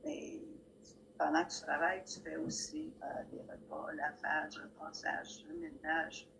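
A woman's voice: deep voice, big pitch swings, a little slow, creaky, a bit bright, slight background noise, poor audio. An older woman from Montreal, sharing wry personal anecdotes as a guest speaker on a video call from a library room. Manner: plainspoken storyteller, wry asides. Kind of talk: personal anecdotes at a community talk. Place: library room with bookshelves, indoors. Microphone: video call, laptop camera.

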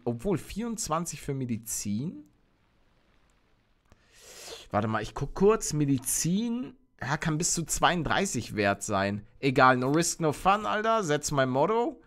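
A young man streaming a game live, chatting with his viewers and reacting loudly, close to a studio mic at home. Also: on the high side. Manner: chatty, reads chat aloud, bursts into excited shouts. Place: home streaming room, indoors. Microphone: studio mic on arm near face.

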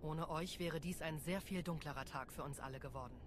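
Woman as a low-voiced, earnest Jedi. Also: brisk, lightly acted. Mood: warm, hopeful. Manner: earnest, composed. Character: earnest, determined female Jedi warrior. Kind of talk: dramatic game cutscene acting. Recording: game ambience and noise behind voice.

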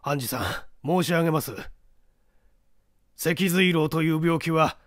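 A man playing a defeated accused detective in an audiobook, explaining himself in a measured, formal, subdued way. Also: a little high, no echo, studio quality, voice pushed up, lightly acted. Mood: subdued, grave. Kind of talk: dramatic audiobook character reading.

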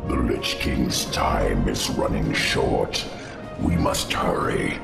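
An ancient, grave crypt monster from a fantasy game. The voice is extremely low and thick with gravel, delivering slow, formal, ominous words in an echoing space with loud music behind. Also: male voice, full character voice. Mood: grave, wary. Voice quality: very deep, gravelly, rumbling. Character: ancient undead insectoid crypt lord, grave and wary. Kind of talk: fantasy video game voice acting.

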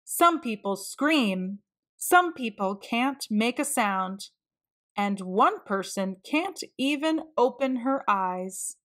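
A woman reading a children's storybook aloud, expressively and with deliberate emphasis for young listeners. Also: on the high side, expressive, fairly bright tone. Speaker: children's read-aloud narrator.

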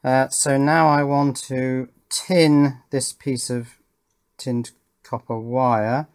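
A man's voice: medium pitch, steady tone, slow pace. A man hosting an electronics channel, giving a casual hands-on demonstration and thinking aloud as he works. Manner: thinking aloud while working, with tag questions. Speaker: host of an electronics build channel. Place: indoors at a wooden workbench.

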